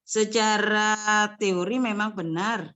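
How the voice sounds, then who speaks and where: A woman scout leader asking a question over a video call, slow and searching for words. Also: medium pitch, steady tone, fairly bright tone. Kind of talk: question from the audience.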